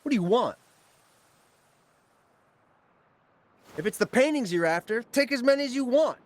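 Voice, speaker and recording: A greedy, blustering game villain with a high-pitched voice. His delivery swings widely in pitch as he brushes people off dismissively. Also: lively intonation, fast. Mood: wary, defensive. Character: brash, greedy male crook running an art forgery racket. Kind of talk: video game voice acting.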